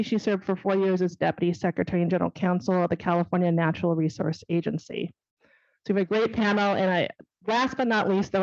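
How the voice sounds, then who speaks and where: A woman hosting an event, reading speaker bios in a steady, formal way. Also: lowish voice, no echo. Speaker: event host introducing speakers. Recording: dull, dry audio.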